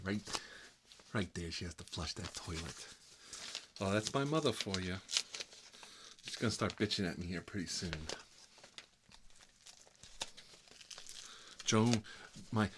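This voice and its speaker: A man's voice: deep voice, background noise. A man playing a fussy, gossipy fellow who lives with his mother, rambling and repeating himself in an ASMR comedy role-play. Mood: peevish. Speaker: ASMR channel host. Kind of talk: ASMR comedy role-play, one-sided chat with a visitor.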